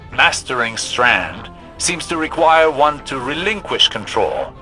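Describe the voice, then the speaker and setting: A man's voice: medium pitch, sharp tone, rough recording. A man playing a learned scholar in a video game, narrating lore in a pensive, precise manner. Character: learned male scholar of cosmic forces.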